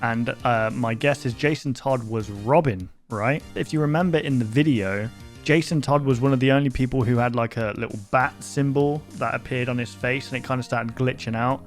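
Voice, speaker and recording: Male host, casual reaction talk, thinks out loud with lots of restarts; average pitch, soft music bed. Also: normal speed. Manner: thinks aloud, rambling with many fillers and restarts.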